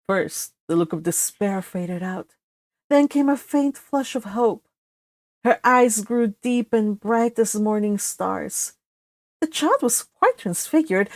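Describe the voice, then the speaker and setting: A woman's voice: fairly high pitch, a little mellow, no room sound. A woman reading scripted storybook narration from a classic novel.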